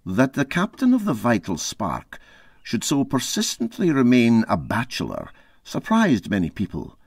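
A man reading a literary story aloud as an expressive narrator. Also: medium pitch, unhurried, breathy edge, no echo, studio quality. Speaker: story reader.

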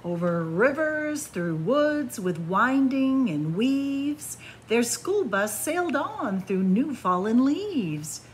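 A middle-aged woman reading a picture book aloud to children in an animated, deliberate storytelling manner. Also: on the high side, slight background noise, poor audio. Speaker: library story-time reader. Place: indoors in a library among bookshelves.

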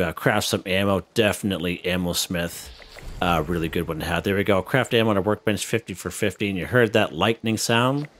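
A man hosting a casual gameplay tutorial, relaxed and step by step, who is losing his voice. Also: sits low. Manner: relaxed, walks viewers through step by step. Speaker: gaming channel host.

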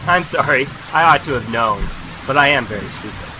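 An actor plays a courteous gentleman with a very animated pitch. He is humble and obliging, formal in his phrasing, as in a period radio play. Rain is clearly heard behind him. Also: brisk, noisy background, natural voice. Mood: apologetic. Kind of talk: audio drama acting, period dialogue. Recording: steady rain sound effects behind.

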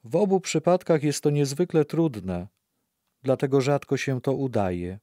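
A man's voice: mid-range voice, unhurried, warm tone, no echo, studio quality, natural voice. A man playing a reflective narrator of faith in a scripted radio play.

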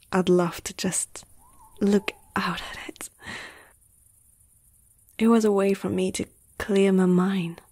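Gentle female vampire, played by a woman, ASMR roleplay. Hushed, husky, unhurried, soothing, medium pitch. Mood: tender, wistful. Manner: soft-spoken, often whispering, slow and soothing. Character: gentle, protective female vampire comforting a human.

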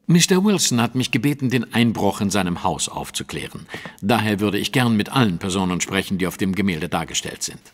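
A man's voice: fairly low pitch, very animated, fairly quick. A man playing a keen, self-assured detective in an audio drama, speaking with formal precision. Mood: polite, formal.

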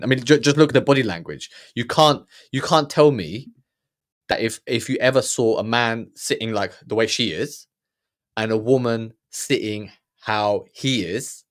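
Male host, 30s, lowish voice; blunt heated reaction commentary, stammering restarts, close studio mic. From Germany. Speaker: podcast host reacting to a documentary. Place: small room with purple lighting. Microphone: studio mic on boom, earphones.

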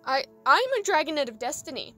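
A woman playing a small, unsure young female dragon in an audio drama, earnest and halting. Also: high-pitched, very animated, bright, forceful tone, faint music behind, heavily acted. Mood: nervous, hesitant. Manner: hesitant, halting delivery.

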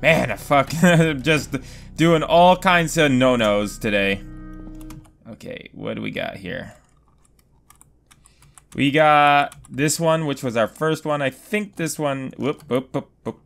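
A man's voice: mid-range voice, slow pace, gravelly, a bit bright, faint music behind. A young man casually commenting on a horror game he is playing, rambling, swearing often and talking to himself. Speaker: gaming video host. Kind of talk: casual let's play commentary. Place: home room.

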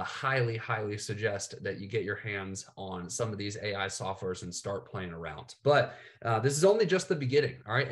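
A man in his thirties with a cold, presenting slides in a casual way, saying "all right" and "uh" a lot. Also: mid-range voice. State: has a cold, slightly nasal, coughing. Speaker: business coach presenting to coaches. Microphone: webcam, screen-share video call.

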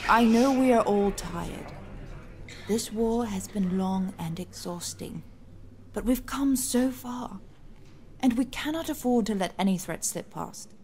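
A woman's voice: medium pitch, a little slow, a little mellow, a little hiss or hum, lightly acted. Composed, grave noble rebel leader, acted by a woman. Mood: pleading. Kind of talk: science fiction audio drama acting.

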